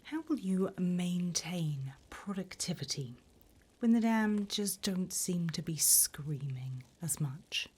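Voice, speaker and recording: Here is a woman playing a gleefully wicked demoness conducting an infernal interview in an ASMR roleplay. She speaks in a very breathy whisper, low and unhurried. Her phrasing swoops and lingers, mock-formal and teasing. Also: expressive, darkish tone, heavily acted. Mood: dry amusement.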